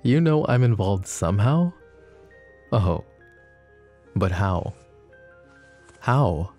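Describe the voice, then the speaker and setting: Man playing a low, smug, flirty mafia boss. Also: sing-song, very slow, darkish tone, faint music behind, exaggerated voice. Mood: teasing. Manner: teasing, mocking, intimate drawl with pet names. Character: smug, flirty, possessive male mafia boss under interrogation. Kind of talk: ASMR roleplay acting.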